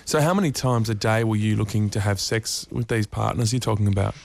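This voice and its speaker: A man's voice: lowish voice, fairly quick, vocal fry. A man conducting an informal radio interview, asking probing questions.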